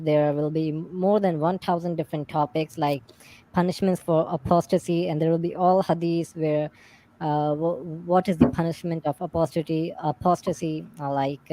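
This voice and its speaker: A man whose first language is Urdu, speaking English haltingly as a stream guest. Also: fairly high pitch, warm tone, rough recording. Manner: halting, searching for words in English. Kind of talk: livestream discussion.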